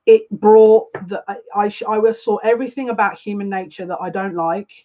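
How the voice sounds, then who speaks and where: A woman's voice: mid-range voice, steady tone, moderate pace. A woman speaks earnestly and confidingly, circling back to repeat her own phrases as she gives a live spiritual reading. Her voice sits in a middle register. The livestream audio is a little dull and lo-fi. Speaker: psychic livestream host.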